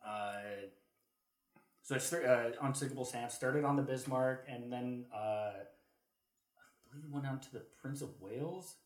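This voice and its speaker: A man with a middling pitch explains things in a casual podcast discussion. He builds his points haltingly as he goes. His voice carries clear room echo. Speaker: podcast co-host. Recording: roomy, echoey sound.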